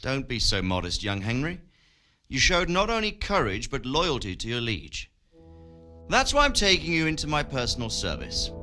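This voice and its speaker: A composed medieval lord in a game, speaking with measured authority. His mid-pitched voice is bright and expressive. Faint music sits underneath. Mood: approving. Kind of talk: video game cutscene acting.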